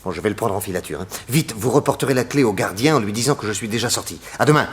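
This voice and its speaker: An actor playing a brisk, watchful detective in a 1960s French radio serial, giving urgent, conspiratorial orders. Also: male voice, fairly low pitch, lively intonation, natural voice. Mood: hushed urgency. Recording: noisy old radio recording with clicks.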